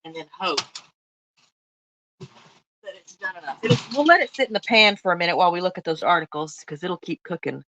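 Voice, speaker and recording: A woman hosting a cooking livestream from her home kitchen, chatting to viewers in a rambling way while she works, her voice a little lower than usual from a cough. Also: a little fast, pauses often, sharp tone, low-quality recording. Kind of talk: casual livestream chat while baking. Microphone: phone mic.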